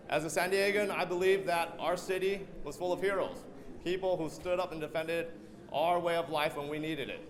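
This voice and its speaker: A man from San Diego giving a candidate's closing remarks at a public forum in an earnest, repetitive way, over a mic in a large hall. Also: on the high side. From San Diego, USA. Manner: earnest, repetitive speech-making. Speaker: council candidate. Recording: noisy crowded hall.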